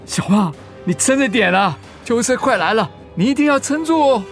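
An actress plays a frantic, devoted friend. Her high voice swings sharply in pitch over clear background music in a radio drama. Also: animated, fairly quick, close to an everyday voice. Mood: desperate, pleading. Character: frantic, loyal friend of a collapsed man.

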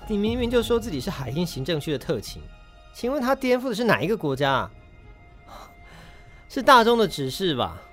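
An actor playing a defiant, devoted husband in a radio drama, indignant and confrontational. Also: male voice, high voice, sing-song, brisk, pauses often, a bit bright, soft background music. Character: defiant, devoted young husband facing arresting officers.

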